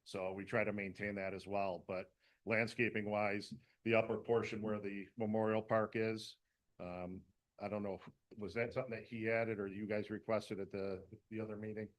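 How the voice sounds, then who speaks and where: A man with a low, fairly monotone voice walks a town planning board through his department's building plans. He talks in a loose, rambling way, padding with fillers and doubling back mid-sentence. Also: normal speed. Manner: rambling, many fillers and restarts. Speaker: fire department representative presenting an application. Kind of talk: casual presentation at a public meeting.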